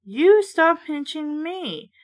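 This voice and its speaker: Woman doing a bratty storybook boy, high voice, dramatic, loves gruesome teasing. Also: sing-song, slow, exaggerated voice. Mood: shrieking. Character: ghoulish, teasing young boy who bickers.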